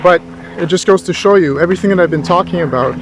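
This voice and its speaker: A man's voice: sits high, quick pace, music in the background, rough recording. A man giving an informal philosophy lecture as a tutor, thinking aloud in a rambling, thoughtful way with rhetorical questions.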